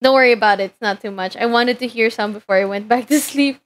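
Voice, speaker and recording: Filipino-born young woman streaming, chatty and giggly, voice a touch high, lots of ums. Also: fast talker. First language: Tagalog. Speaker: VTuber streamer. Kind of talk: casual live-stream chat while working.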